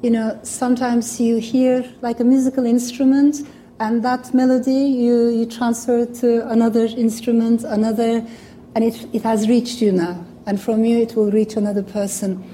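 A woman's voice: a little high, flat delivery, moderate pace, dark tone, a little hiss or hum. A woman from Turkey with a Turkish accent, speaking English as a second language in a thoughtful, earnest public talk. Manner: thoughtful, earnest, with small restarts. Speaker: guest speaker.

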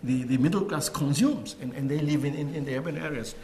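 A middle-aged man giving a talk and answering questions, halting often and repeating words. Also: a little high, mellow. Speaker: guest speaker. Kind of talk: lecture and Q&A answers.

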